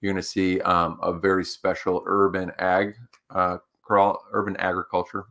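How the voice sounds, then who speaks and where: A man's voice: sits low, level tone, normal speed, fairly bright tone. A man co-hosting a livestream talk show, chatting casually and rambling with lots of fillers. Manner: rambling, filler-heavy, upbeat. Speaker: co-host.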